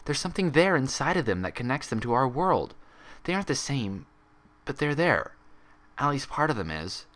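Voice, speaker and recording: A man's voice: mid-range voice, big pitch swings, moderate pace, a bit bright, pitched above the performer's own voice. A man voicing an anguished young female pony in a fan story, scolding in an accusatory, emotional, emphatic way. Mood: insistent.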